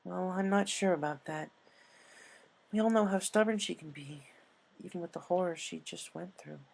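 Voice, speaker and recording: A man's voice: high voice, normal speed, gravelly, a little mellow, pitched above the performer's own voice. A man voicing a worried, restless young female pony scholar in a storybook reading, with an anxious, hesitant delivery.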